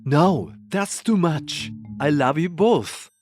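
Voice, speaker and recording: Man acting a panicky, pleading husband with swooping pitch. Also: animated, slow pace, a little mellow, soft background music. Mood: pleading, alarmed. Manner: whiny, pleading, defensive. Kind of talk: manga dub acting.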